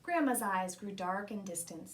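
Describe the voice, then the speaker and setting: A woman reading a children's storybook aloud in an expressive narrating style. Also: mid-range voice, moderate pace, a little hiss or hum. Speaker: storybook reader.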